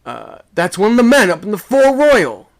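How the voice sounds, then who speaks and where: A man playing a bold, blunt sailor in a sea story, speaking loudly and urgently. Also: mid-range voice, very animated, unhurried, creaky, heavily acted. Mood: alarmed.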